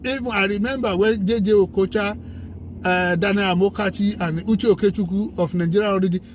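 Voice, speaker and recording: A man's voice: high voice, steady tone, a little fast, a little hiss or hum. A man speaking as a guest in a conversation, emphatic and persuasive, building his points with lists and rhetorical questions. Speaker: guest commentator. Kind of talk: conversational discussion.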